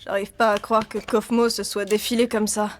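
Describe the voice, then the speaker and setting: A woman voicing a friendly young female character in a French cartoon dub, with a soft, sympathetic delivery. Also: medium pitch, little pitch movement, normal speed, close to an everyday voice.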